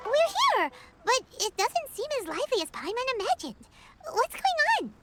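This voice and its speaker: A woman voicing a small, childlike fairy companion in a video game, squeaky, perky and eager. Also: sing-song, moderate pace, a bit bright, full character voice. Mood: puzzled, encouraging. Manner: perky, refers to self in third person. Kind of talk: video game character acting. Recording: music behind the voice.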